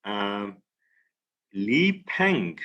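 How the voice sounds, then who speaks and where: A man hosting a video call breakout session, relaxed and full of fillers. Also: on the low side, very slow, dull audio. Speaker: session host. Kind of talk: casual video call moderation.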